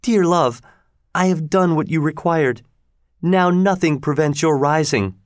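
A cruel sorceress queen from a storybook, acted out by a man, speaks in a raised, high pitch. She is lovesick and theatrical, imploring and imperious in her delivery. The sound is dry and close. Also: a little slow, no echo, natural voice. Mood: eager.

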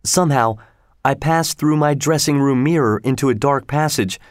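A man's voice: medium pitch, moderate pace, dry recording, clean studio sound, natural voice. A man voicing a frightened, earnest young woman opera singer in a dramatic storybook reading. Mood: troubled.

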